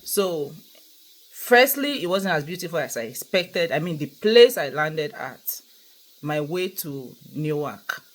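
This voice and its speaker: A woman's voice: deep voice, big pitch swings, slow pace, low-quality recording. A young Nigerian woman with a Nigerian accent, vlogging in a casual, earnest storytelling monologue and repeating points for emphasis.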